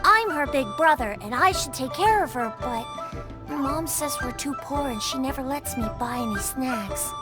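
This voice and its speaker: High-voiced shy little boy. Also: animated, moderate pace, loud music behind the voice. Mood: wistful. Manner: simple, childlike, shy. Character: poor little boy, caring selfless big brother. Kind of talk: video game voice acting.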